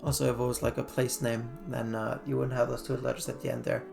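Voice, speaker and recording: A man's voice: mid-range voice, little pitch movement, fast talker, gravelly. A man from Iceland giving casual game recap commentary in a loose, conversational way. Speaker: gaming video creator. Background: faint background music.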